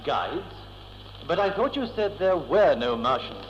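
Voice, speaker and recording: An actor playing a sober, wary captive spaceman in a vintage science-fiction radio drama, with a serious, measured dramatic delivery. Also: on the high side, very animated, soft background music. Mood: taken aback. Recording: muffled, hissy old tape, long reverb.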